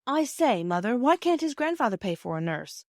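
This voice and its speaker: An earnest British schoolboy, played by a woman reading a storybook, pitched high and pushed a little louder. He talks in old-fashioned slang with a pleading, boyish eagerness, recorded dry and close. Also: very animated, normal speed, no room sound. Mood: eager, persuasive. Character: eager, earnest English schoolboy, her son.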